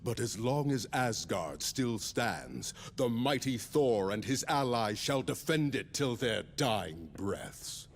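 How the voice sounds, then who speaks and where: An actor playing a proud, heroic thunder god prince who speaks in archaic, commanding lines in a video game cutscene. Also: male voice, mid-range voice, animated, takes it slowly, few pauses. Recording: loud music score behind.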